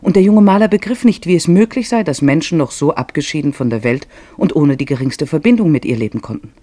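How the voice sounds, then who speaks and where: Guy narrating a literary radio play, mellow husky voice, dry close sound. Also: a little high, lively intonation, fast, dry recording.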